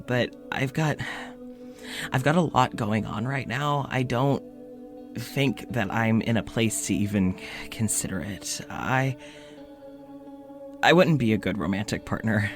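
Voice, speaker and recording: A man's voice: mid-range voice, close to an everyday voice. An actor playing a lonely, anxious man who talks to his audio journal late at night, hesitant and stammering, sighing often, full of self-doubt. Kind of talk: audio drama monologue.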